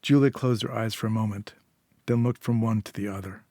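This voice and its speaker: A man's voice: on the low side, steady tone, fairly quick, a little mellow, dry recording, clean studio sound. A man reading a dramatic short story as a storyteller, in scripted narration.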